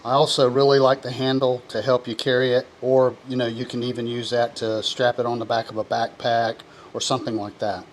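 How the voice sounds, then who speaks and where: A man presenting a product review, explaining features one by one in a plain, steady way. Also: mid-range voice, fairly quick, few pauses, slight background noise.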